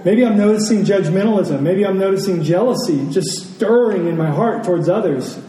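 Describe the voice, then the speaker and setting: Higher-pitched American man preaching, roomy sound. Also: normal speed, warm tone. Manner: reflective, repeats phrases for emphasis. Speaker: pastor. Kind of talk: conversational sermon to a congregation. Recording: roomy, distant mic, faint noise.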